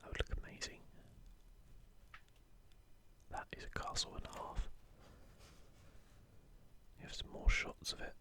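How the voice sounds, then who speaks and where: A video host speaking in a soft, unvoiced ASMR whisper, unhurried, hushed and informal. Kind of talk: whispered ASMR, leafing through and commenting on an art book.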